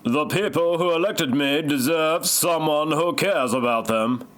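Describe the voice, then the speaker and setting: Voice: medium pitch, measured pace, a bit bright, natural voice. An actor playing a large, jolly senator resigning in shame, in an amateur parody audio play. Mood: contrite.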